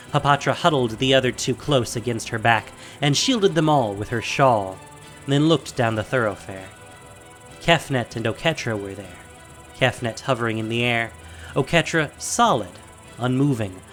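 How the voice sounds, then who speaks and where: A man with a mid-pitched voice narrates dramatic fantasy as a scripted story. Faint music and insect sounds sit behind him. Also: fairly bright tone.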